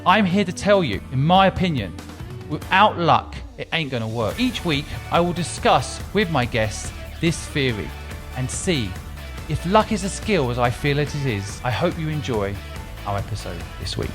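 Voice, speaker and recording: A man's voice: fairly high pitch, very animated, moderate pace, over music. A man hosting a podcast, giving an upbeat intro and asking questions with stumbling repeats. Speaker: podcast host.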